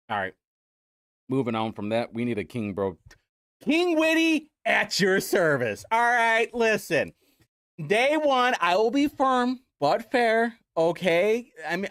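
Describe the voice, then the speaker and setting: A young man hosts a casual commentary show. He mocks and jokes as he goes, and his voice rises and falls a lot and sits a little high for a man. The sound is dry and close, with no room echo. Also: fairly bright tone. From Indiana, United States. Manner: sarcastic, mocking. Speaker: host.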